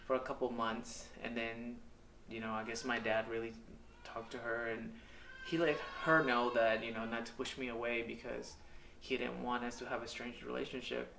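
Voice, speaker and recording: Halting man in an echoey room. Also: medium pitch, flat delivery, creaky, noisy background. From Mexico. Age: 30s. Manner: hesitant, halting, with frequent ums and uhs. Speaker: storyteller sharing his life. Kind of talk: candid personal testimonial. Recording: echoey room, mic at a distance.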